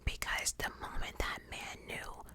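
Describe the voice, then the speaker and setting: A woman whispers the whole way through, breathy and hushed. She tells her story in casual, blunt talk, swearing freely and filling gaps with "like" and "you know.". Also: a little slow. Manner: casual and profane, many fillers.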